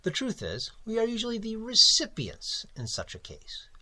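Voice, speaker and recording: A man with a husky voice voicing an elderly, evasive magazine editor who talks blandly and fussily, with sudden loud swells. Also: high-pitched, wildly swooping pitch, normal speed, pitched above the performer's own voice, full character voice. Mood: bland, wheedling.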